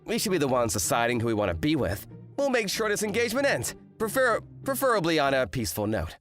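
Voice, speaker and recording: An indignant eighteen-year-old student acted by a man grumbles his way through a manga rom-com. His voice sits a little high and jumps around animatedly. He sounds dramatic and exasperated, with light music behind him. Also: huge pitch swings, fast. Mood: wry narrating. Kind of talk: manga dub acting and first-person narration.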